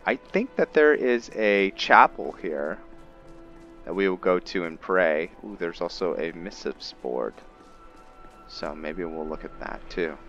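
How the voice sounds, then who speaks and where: A man's voice: sits low, lively intonation, a little slow, fairly bright tone. A man giving casual roleplay commentary on a game, thinking aloud and slipping into character. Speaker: gaming host. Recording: dull lo-fi mic, music behind.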